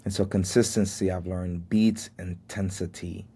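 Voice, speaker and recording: Slow, deep-voiced young podcast host. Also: male voice, little pitch movement, dark tone, husky. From United States. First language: Haitian Creole. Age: 20s. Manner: earnest, faith-filled, chuckles at himself. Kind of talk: solo reflective podcast talk.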